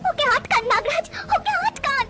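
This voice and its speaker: A woman's voice: extremely high pitch, very animated, normal speed, voice pushed up. A woman voicing a distraught female serpent spirit, a mother urgently pleading, in a cartoon fairy tale. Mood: frantic.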